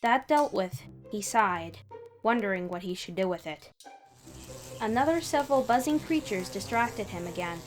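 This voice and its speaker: A woman reading fan fiction aloud as an expressive third-person narrator with dramatic pauses. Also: medium pitch, poor audio. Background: background music.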